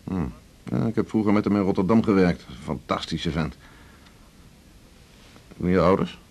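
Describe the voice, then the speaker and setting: An actor in a 1980s Dutch radio drama playing a blunt, teasing middle-aged senior doctor, brusque and bossy. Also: male voice, sits low, moderate pace, creaky, a little mellow. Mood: brisk, fatherly.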